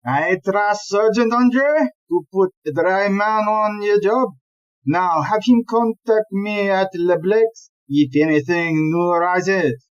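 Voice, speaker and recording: A woman acting in an audio play as a smooth-talking, dismissive male police chief inspector who is a philanderer. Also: medium pitch, slow pace, lo-fi sound, natural voice. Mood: composed.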